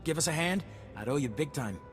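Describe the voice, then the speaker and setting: A man playing a brash, harried squad leader in a video game, talking in an informal, brisk military way. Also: a little high, expressive, natural voice. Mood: wry, urgent. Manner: informal, brisk soldier talk. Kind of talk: video game cutscene acting. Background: game music.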